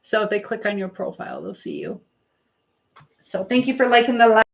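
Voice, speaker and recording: A woman's voice: medium pitch, brisk, echoey room. A woman hosting a live stream in casual chat, drifting informally between advice to viewers and comments on what she is doing.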